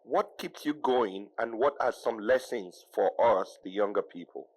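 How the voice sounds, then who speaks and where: Casting: audience man, low voice, polite and hesitant. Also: unhurried, poor audio. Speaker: audience member.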